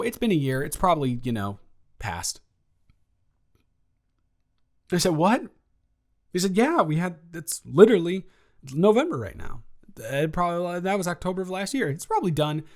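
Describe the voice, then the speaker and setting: A man playing a flustered, goofy roommate confessing love to a friend, rambling casually and haltingly in an improvised audio roleplay. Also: high voice. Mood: incredulous, excited. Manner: halting, stammering, animated, jokey.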